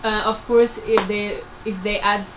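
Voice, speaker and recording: A woman's voice: mid-range voice, steady tone, measured pace. A woman in her twenties giving explanatory answers as a research crew member, speaking to students. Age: 20s. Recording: noisy room recording.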